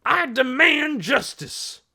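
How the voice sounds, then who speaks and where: A man voicing a scowling, bullying, hot-tempered trader and father in an audiobook, loudly blustering. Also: medium pitch, sing-song, measured pace, vocal fry, breathy edge, exaggerated voice. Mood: indignant fury. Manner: blustering, shouting accusations. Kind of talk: audiobook character acting.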